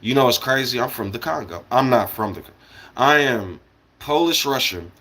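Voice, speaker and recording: American male livestreamer, bright forceful voice, mid-pitched. Off-the-cuff, opinionated rambling with blunt, profane phrasing. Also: expressive, normal speed. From United States. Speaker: live streamer. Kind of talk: casual rambling livestream monologue.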